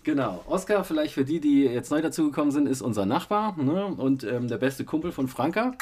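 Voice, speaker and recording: A man's voice: fairly high pitch, fairly quick. A man with a cold, hosting a casual family podcast and retelling a day out step by step. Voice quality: husky. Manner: relaxed, many fillers, retells events step by step. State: has a cold. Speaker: podcast host with his child.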